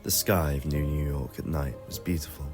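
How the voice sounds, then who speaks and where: A man's voice: extremely low pitch, fairly even intonation, moderate pace, music in the background. A man reading scripted narration for an adventure audio story.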